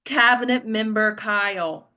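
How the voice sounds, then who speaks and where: A woman's voice: mid-range voice, unhurried, pushed and bright. A woman acting a bossy, frantic political aide in a comedy table read, commanding and exasperated. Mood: biting.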